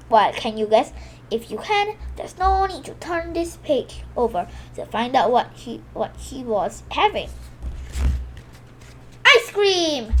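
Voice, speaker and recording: A woman reads a children's picture book in a high voice. Her delivery swings up and down with lots of expression, punching out sound words and now and then correcting a slip. There is faint noise behind her. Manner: animated, exclaims sound words, stumbles and corrects herself. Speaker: storybook reader for a children's video channel.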